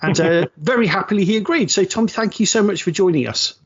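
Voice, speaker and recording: A man's voice: high voice, a little fast, slightly rough, lo-fi sound. A man hosting a podcast in an upbeat, rambling, self-deprecating way, often stopping to restart. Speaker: podcast host. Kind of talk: casual chat.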